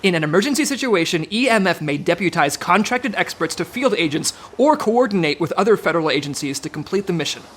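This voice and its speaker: A man's voice: high-pitched, lively intonation, quick pace, few pauses, a bit bright, slight background noise. Male, anxious rule-bound agent in a radio comedy, swooping intonation, sarcastic, faint ambience behind. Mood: insistent. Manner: fretful, sarcastic. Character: exasperated, anxious by-the-book male secret agent. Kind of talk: comedic audio drama acting.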